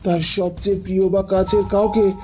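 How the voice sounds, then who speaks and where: Female actor for villainous fairy-tale sorceress: middle register, deliberate, commanding, menacing. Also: medium pitch, flat delivery, soft background music, close to an everyday voice. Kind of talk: Bengali cartoon fairy-tale voice acting.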